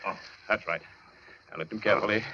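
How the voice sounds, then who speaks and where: An actor playing a deranged, obsessive, menacing doctor in a suspense radio drama of the 1940s. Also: male voice, lowish voice, fast talker, sharp tone, slight background noise. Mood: soothing, methodical. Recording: muffled old radio drama recording, faint music.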